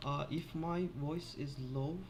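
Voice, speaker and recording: Gravelly guy muttering to himself while debugging, lots of uhs, slow pace. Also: a little high, steady tone, background noise. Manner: musing, halting, many filler uhs. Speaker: Linux developer screencasting. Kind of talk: casual thinking aloud while coding. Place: office.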